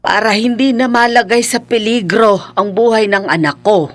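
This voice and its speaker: Woman as a worried, high-voiced pleading mother. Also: moderate pace, lightly acted. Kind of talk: Filipino radio drama acting. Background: faint music.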